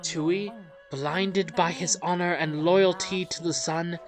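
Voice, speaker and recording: A woman tells a game's backstory like a storybook, slowly and with measured phrasing. Faint music plays behind her narration. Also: fairly low pitch, fairly even intonation. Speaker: let's play narrator. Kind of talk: storybook-like narration of game lore.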